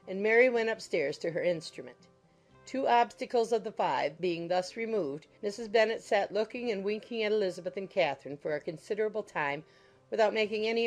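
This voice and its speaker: A woman narrating a classic novel in a measured, literary storytelling manner. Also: mid-range voice, darkish tone. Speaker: audiobook narrator. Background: background music.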